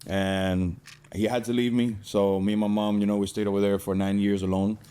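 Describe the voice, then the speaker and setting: A man's voice: lowish voice, little pitch movement, a little fast, warm tone, smooth. A young man from Cuba telling his personal story as a podcast guest in a casual, streetwise way, with slang and swearing.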